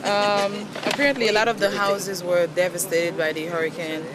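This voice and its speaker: High-voiced man from Haiti, crowd behind. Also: little pitch movement, rough recording. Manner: polite, measured, hesitant fillers. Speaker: site inspector. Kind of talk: street interview. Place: outdoors at a street debris site.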